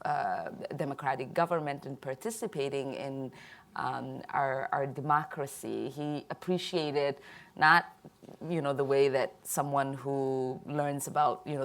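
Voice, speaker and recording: A woman in her thirties from Somalia, answering on a panel in a halting way, often repeating words and filling pauses. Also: low-pitched. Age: 30s.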